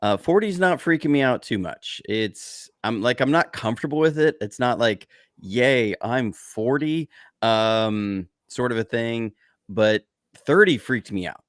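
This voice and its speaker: A man's voice: medium pitch, expressive, unhurried, no echo, clean studio sound. A man about to turn forty, co-hosting a podcast and chatting casually in a rambling, reflective way with many restarts. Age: 30s. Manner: rambling, self-correcting, reflective. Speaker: podcast co-host. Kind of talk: casual chat.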